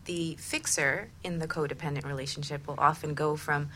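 A woman's voice: low-pitched, steady tone, brisk, sharp tone, a little hiss or hum. A woman hosting a conversational radio interview, affirming and summing up points as she goes. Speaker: talk show host.

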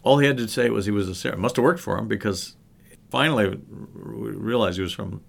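Middle-aged man, gravelly and low, relaxed storyteller for a panel talk. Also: fast. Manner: anecdotal, thoughtful. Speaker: researcher. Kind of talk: panel discussion.

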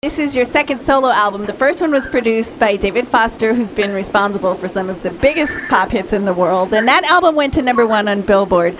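A woman radio host asks questions briskly and answers with short affirmations. Her voice sits somewhat high. Faint noise is heard behind her. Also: expressive. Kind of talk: radio interview.

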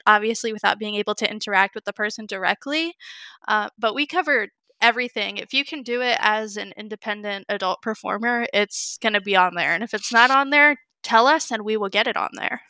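A woman's voice: fairly high pitch, fairly quick, pushed and bright, smooth, no room sound. A woman in her twenties, a guest on an interview, joking playfully and giggling often as she explains her consulting work. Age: 20s. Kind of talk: casual interview chat.

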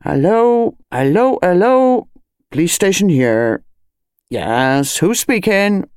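A woman voicing a male village police constable in an audiobook, gruff and halting, then deferential to his betters. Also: high voice, sing-song, no room sound, studio quality, pitched above the performer's own voice, heavily acted. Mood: brisk, impatient. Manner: halting, officious, repeats himself. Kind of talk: audiobook character dialogue.

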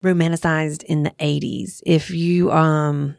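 A woman podcast host with a low voice and plenty of vocal fry. She talks casually and frankly, working out her thoughts aloud. The sound is dry and close. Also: fairly even intonation, measured pace, a little mellow, dry recording. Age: 30s to 40s. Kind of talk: casual conversational podcast chat.

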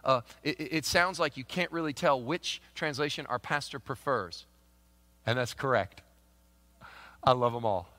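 A man with a Kentucky accent preaching a sermon as pastor, swinging from loud emphatic proclamation to soft conversational asides. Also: medium pitch, normal speed. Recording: long hall reverb.

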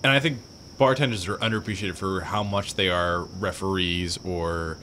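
A man in casual chat, relaxed and thoughtful, letting his sentences trail off. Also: low-pitched, normal speed, slight background noise.

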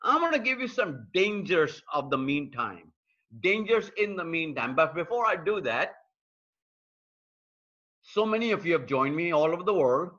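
A man's voice: a little high, very animated, moderate pace, sharp tone, muffled recording. A man preaching an online sermon, building points through repetition and speaking straight to viewers joining from around the world. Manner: repetitive, emphatic preaching cadence; addresses viewers directly. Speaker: pastor. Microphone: streaming call mic.

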